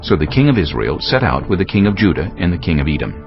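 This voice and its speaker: A man narrating scripture from a script in a solemn, deliberate storytelling manner. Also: low-pitched, sing-song, lo-fi sound, music in the background.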